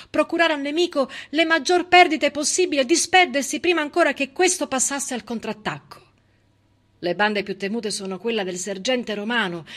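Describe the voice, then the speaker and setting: A woman delivering a theatrical storytelling monologue in a dramatic, emphatic way with deliberate pauses. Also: sits high, fairly bright tone. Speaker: stage actress and narrator.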